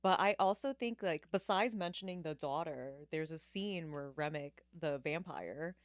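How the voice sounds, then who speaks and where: A woman's voice: low voice, normal speed, no room sound, studio quality. A woman guest on a casual podcast, telling stories and laughing often. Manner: chatty storyteller, laughs often. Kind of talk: casual chat.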